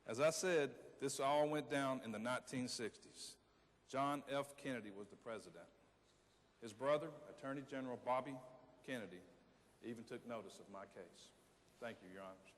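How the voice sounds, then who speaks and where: A plain-spoken male convict, acted by a man on stage tells his case with wry, deliberate emphasis. His mid-pitched voice rings through a hall with long reverb, in the manner of a readers theater monologue. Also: a little hiss or hum, close to an everyday voice. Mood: proud, wry. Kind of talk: readers theater monologue, reading aloud and addressing audience.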